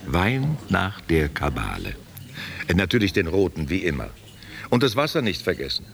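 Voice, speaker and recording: A man's voice: low-pitched, wildly swooping pitch, moderate pace, gravelly, heavily acted. An actor playing an old, tender, self-mocking man courting a younger woman in a German radio drama, intimate and wry. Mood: playful, brisk. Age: older. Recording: noisy, hissy radio recording.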